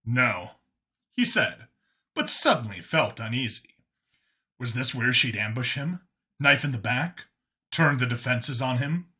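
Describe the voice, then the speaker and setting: A man's voice: medium pitch, pushed and bright. A man giving a scripted story narration as an audiobook narrator.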